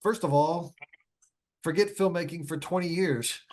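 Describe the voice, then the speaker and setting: A man's voice: a little high, measured pace, a little mellow. A man speaking as an author guest in a casual interview, stringing thoughts together loosely and repeating words. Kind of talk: casual, reflective conversation.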